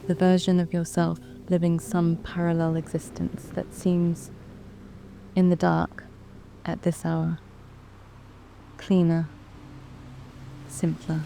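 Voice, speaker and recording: A woman with a British accent narrating a guided sleep meditation in a soft, calm, soothing way, with long measured pauses. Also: on the low side, vocal fry, a little hiss or hum. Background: background music.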